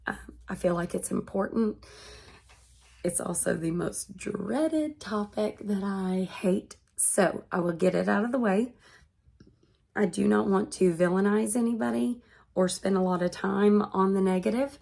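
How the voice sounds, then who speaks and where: A woman in her thirties, congested and hoarse from being sick, talking earnestly to her vlog audience. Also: medium pitch, darkish tone. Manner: slow, earnest and measured. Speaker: vlogger. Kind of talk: personal vlog monologue.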